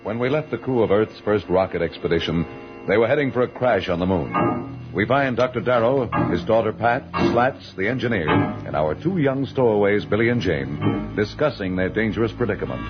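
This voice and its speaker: An actor as the grandiose, theatrical narrator of an old radio space adventure serial. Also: male voice, fairly low pitch, animated, normal speed, lightly acted. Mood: urgent, suspenseful. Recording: dull vintage radio recording, loud music.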